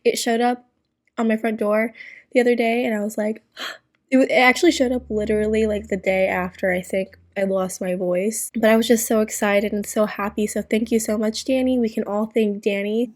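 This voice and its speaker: A woman hosting a reaction video, hoarse and raspy from a cold, chatting casually and giggling. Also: mid-range voice, little pitch movement, moderate pace, darkish tone. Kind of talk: casual vlog chat. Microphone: new studio microphone.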